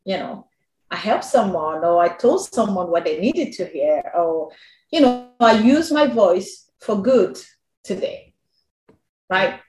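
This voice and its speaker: A woman with a non-native English accent, a working mother telling her own story as a podcast guest, animated and quick to laugh. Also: medium pitch, roomy sound, low-quality recording. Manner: animated, laughs often, quotes herself. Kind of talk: candid personal storytelling.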